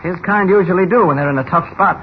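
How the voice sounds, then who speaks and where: An actor playing a mild, amiable, evasive newspaper reporter with a hesitant, innocent manner in 1940s radio style. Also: male voice, high-pitched, quick pace, close to an everyday voice. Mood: brisk, confident. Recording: noisy, muffled old radio recording.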